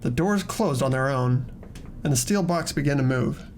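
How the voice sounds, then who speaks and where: A man's voice: medium pitch, lively intonation, normal speed, a little mellow, slight background noise. A man narrating a video game playthrough, reading dramatically and chatting casually.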